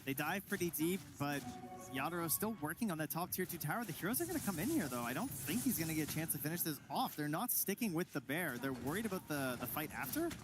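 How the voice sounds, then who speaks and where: A man's voice: fairly high pitch, very animated, quick pace, over music. A man giving conversational live analysis as an esports co-commentator. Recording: clear background noise behind voices.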